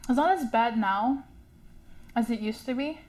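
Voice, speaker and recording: A young woman who stutters, sharing a personal story in a slow, halting way with fillers and repeated sounds. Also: fairly high pitch, darkish tone. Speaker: community member sharing a story. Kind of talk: personal testimony.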